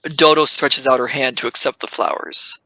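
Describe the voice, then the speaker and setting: A man's voice: medium pitch, fairly even intonation, normal speed, bright, forceful tone, dry recording. A man reading scripted scene narration for an audio drama.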